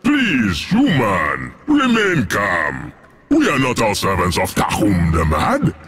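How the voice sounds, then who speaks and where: A man voicing a formal, haughty alien informant with a raspy voice in a video game. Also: fairly low pitch, huge pitch swings, takes it slowly, fairly bright tone, full character voice. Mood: placating. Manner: formal, emphatic. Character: haughty, civil male alien informant in armor. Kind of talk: video game cutscene acting.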